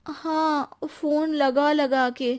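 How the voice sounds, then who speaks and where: A woman voicing an earnest, trusting young married woman in a family story, in an expressive manner. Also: high-pitched, very slow, voice pushed up. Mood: uneasy.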